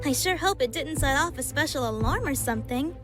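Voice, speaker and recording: A woman's voice: high-pitched, expressive, brisk. A woman voicing a plucky, optimistic young hedgehog girl in a fan comic dub, eager and determined. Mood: hopeful. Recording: music behind.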